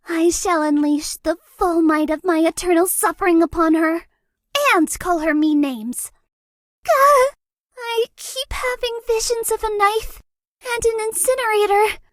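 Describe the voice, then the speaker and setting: A woman voicing a bubbly, shy, lovesick ghost girl in a dating game, with a girlish, breathy, cutesy voice. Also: fairly even intonation, a little slow, dry recording, studio quality. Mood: ominous. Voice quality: very high, girlish voice. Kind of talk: anime-style dating game character lines.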